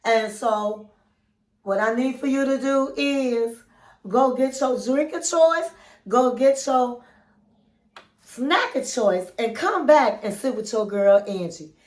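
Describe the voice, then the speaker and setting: A woman's voice: a little high, lively intonation, takes it slowly, a little mellow, roomy sound. A woman with a cold and a nasal voice, hosting her own relationship advice channel and chatting casually with viewers, calling them queens and honey. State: has a cold, sounds nasal. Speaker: relationship coach and channel host. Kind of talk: casual advice vlog chat.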